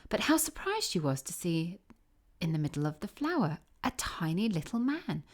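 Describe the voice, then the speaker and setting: A woman narrating a children's fairy tale from a script in a measured, expressive storytelling manner. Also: on the low side. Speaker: audiobook narrator.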